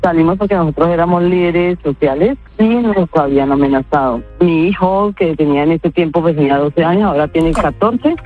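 A woman's voice: low voice, fairly quick, hardly any pauses, faint music behind. A woman from Colombia, giving a hesitant recorded news testimony as an interviewee. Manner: hesitant, restarting phrases. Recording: tinny phone-like audio.

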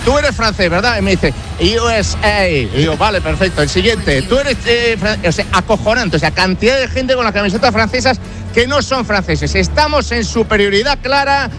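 A man with a Spanish accent reporting on football as a roving radio reporter, excitable, jokey and colloquial. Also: high voice, moderate pace, over music. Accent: Spanish (Spain). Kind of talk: live interview and banter with football fans. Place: outdoors outside a stadium, live broadcast.

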